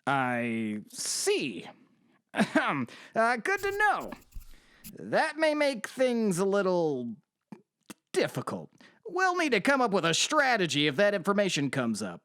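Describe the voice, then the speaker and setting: A man's voice: sits high, wildly swooping pitch, slow, fairly bright tone, dry recording, heavily acted. Guy doing an earnest middle-aged lawyer, formal, bit hesitant, swingy intonation, dry studio audio drama. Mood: measured, concerned.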